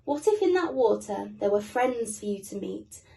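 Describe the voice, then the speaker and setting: A woman playing a gentle, patient mother penguin in a storybook, soothing and coaxing. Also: medium pitch, expressive, normal speed, gravelly, distant mic. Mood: tender, encouraging.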